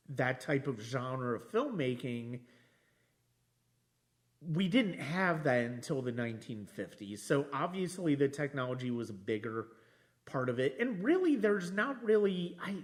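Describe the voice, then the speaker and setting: A man hosting a casual film discussion, halting as he thinks aloud and often restarting his phrases. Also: medium pitch. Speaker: podcast host discussing films.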